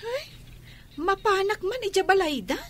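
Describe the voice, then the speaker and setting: Radio drama: actress as assertive, caring businesswoman cousin, high-pitched, faint noise behind. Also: lively intonation, a little slow. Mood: concerned. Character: caring, assertive shop-owning cousin, adult woman. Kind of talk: Ilocano radio drama acting.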